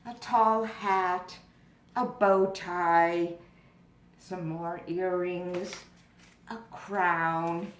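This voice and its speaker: Unhurried woman presenting crafts in echoey room. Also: on the low side. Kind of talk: informal craft demonstration with storytelling. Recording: roomy echo with noticeable background noise.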